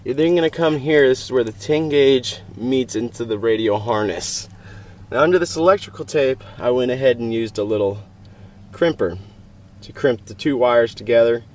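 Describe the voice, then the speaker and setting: A man talks through a hands-on how-to in an informal, plainspoken way, his voice at an ordinary middle pitch. It sounds like a handheld camera recording at a truck, with some noise behind him. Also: normal speed, darkish tone. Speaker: DIY video host. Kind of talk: casual how-to walkthrough. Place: in and around a pickup truck. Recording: clear background noise, vehicle ambience.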